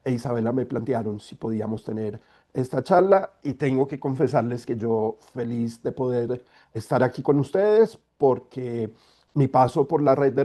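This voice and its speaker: A middle-aged man speaks over a video call, congested from a cold. He lays out his points in a measured, explanatory manner, with a mellow, dark voice. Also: medium pitch. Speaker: guest speaker, environmental lawyer. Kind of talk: online talk.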